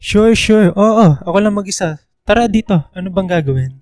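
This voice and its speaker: High-pitched, bantering young man. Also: animated, normal speed, darkish tone, soft background music. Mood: welcoming. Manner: light, joking, bantering. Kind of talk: radio drama dialogue.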